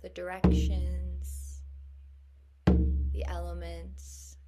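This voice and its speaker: Over prominent meditative music, a woman guides a meditation very slowly. Her voice is fairly low and even, with a gentle, soothing delivery and drawn-out pauses. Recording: music behind the voice. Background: meditative instrumental music.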